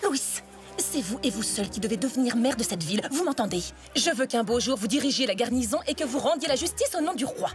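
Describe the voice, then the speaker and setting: Woman playing haughty high-voiced cartoon noblewoman. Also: lively intonation, fast talker, lightly acted. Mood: demanding, indignant. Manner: affected, imperious. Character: haughty ambitious noblewoman, demanding manipulative wife. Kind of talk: animated cartoon dubbing. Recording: music behind the voice.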